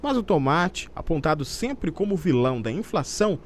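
A man's voice: fairly high pitch, big pitch swings, normal speed, slight background noise. A man with a Brazilian accent narrating a scripted TV news report.

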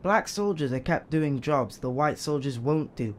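An actor playing a blunt, sharp-tongued teenage brother. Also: male voice, on the high side, expressive, moderate pace, slight background noise, close to an everyday voice. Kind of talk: audio drama acting.

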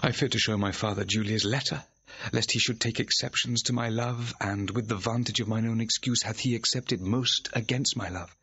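An actor playing a lovesick young gentleman, declaiming anxious, melodramatic verse in a classical drama. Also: fairly low pitch, steady tone, moderate pace, breathy edge, no room sound, full character voice. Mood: rueful, lamenting. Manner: declamatory, lamenting. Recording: dull, band-limited vintage audio recording.

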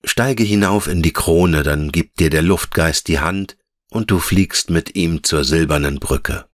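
A man voicing a wise, gentle female elf in a fairy-tale audiobook, speaking in a measured, patient and tender way. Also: sits low, no room sound, studio quality. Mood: gentle, explaining. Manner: measured, patient, lyrical explaining.

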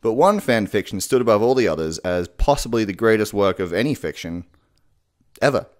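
A man's voice: on the low side, sing-song, fairly quick. A man in his twenties hosting a video with casual, sweary, comedic talk to camera. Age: 20s. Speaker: video host. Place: living room, indoors. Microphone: desktop condenser microphone, close.

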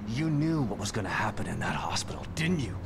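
A man's voice: fairly low pitch, very animated, a little fast, vocal fry, background noise. An actor voicing a grieving former police detective father in a video game cutscene, talking with intense urgency. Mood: angry. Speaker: game protagonist. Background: game music.

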